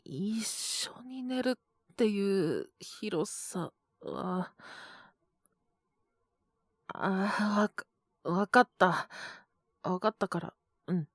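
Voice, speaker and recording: A woman voicing a gentle young male teacher who is feverish and coughing, speaking softly and tiredly. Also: mid-range voice, drawn-out words, long pauses, dry recording, clean studio sound. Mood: flustered, chatting. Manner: soft, tired, mumbling, often whispered. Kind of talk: audio drama for women listeners.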